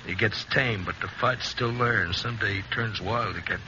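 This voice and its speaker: An actor playing a middle-aged frontier sheriff and guilt-ridden father in a 1950s radio western, gruff and plain-spoken. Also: fairly low pitch, moderate pace, natural voice. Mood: anguished. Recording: muffled, noisy 1950s radio recording.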